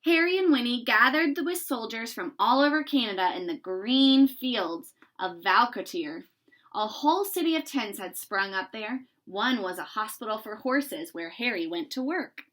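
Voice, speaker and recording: Woman reading a storybook, fairly high voice. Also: expressive, normal speed, fairly bright tone. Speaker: storybook reader. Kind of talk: expressive children's read-aloud narration.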